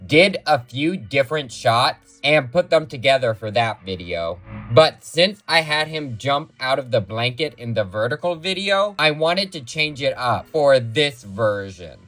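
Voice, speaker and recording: A man's voice: medium pitch, animated, unhurried, few pauses, a bit bright, poor audio. A man narrating a behind-the-scenes look at his own videos as their creator and host.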